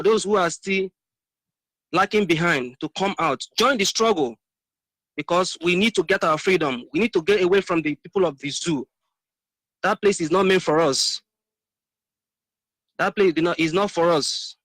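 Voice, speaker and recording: A man's voice: high voice, normal speed, pauses often, fairly bright tone, dry recording, low-quality recording. A man with a Nigerian Igbo accent, exhorting listeners in repeated phrases as a caller on a political radio phone-in. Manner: exhorting, repetitive. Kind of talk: political appeal with blessings.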